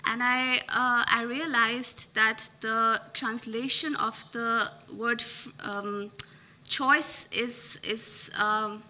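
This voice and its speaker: Soft-spoken bright woman, Urdu speaker. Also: on the high side, steady tone, extremely slow. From Pakistan. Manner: hesitant, many fillers, soft-spoken. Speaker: audience member asking a question.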